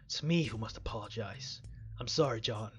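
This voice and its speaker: A man voicing a sombre, apologetic male police officer in a visual novel, low, measured and subdued. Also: muffled recording, slight background noise, close to an everyday voice. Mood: remorseful.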